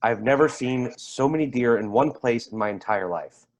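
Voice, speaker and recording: An actor playing a rattled, defensive man giving halting, emphatic testimony in a dramatic staged reading. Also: mid-range voice, expressive, lightly acted. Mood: awed recollection. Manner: halting, emphatic, repeats himself. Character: rattled, defensive man testifying about an eerie deer encounter.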